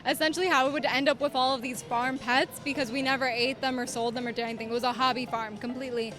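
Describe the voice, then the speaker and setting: A woman's voice: high-pitched, steady tone, fast. A young woman from Cuba with a slight Southern US accent, her first language Spanish, chatting upbeat and polite as a pageant contestant. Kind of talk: casual interview answers. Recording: music playing behind.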